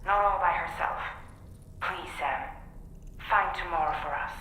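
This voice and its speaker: An actress playing a firm but caring female team leader in a video game cutscene, speaking earnestly and deliberately. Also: lowish voice, bright, forceful tone, a little hiss or hum. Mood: worried, pleading. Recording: echoey room, music underneath.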